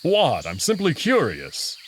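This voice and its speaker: A man playing a proud, sulky young male alien wolf noble in a visual novel, measured and haughty with some hesitation. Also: fairly high pitch, huge pitch swings, a bit bright, slight background noise, heavily acted. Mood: irritated.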